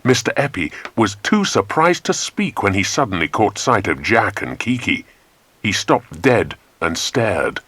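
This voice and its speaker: A man with an English accent narrating a children's adventure story in a measured, dramatic storytelling manner. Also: on the low side, sing-song, fairly bright tone, breathy edge, no room sound. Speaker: audiobook narrator.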